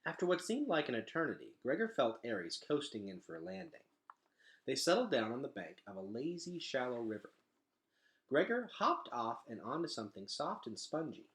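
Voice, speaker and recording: A man reading a children's novel aloud in an expressive, dramatic storytelling style. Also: medium pitch, moderate pace. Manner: animated, dramatic delivery.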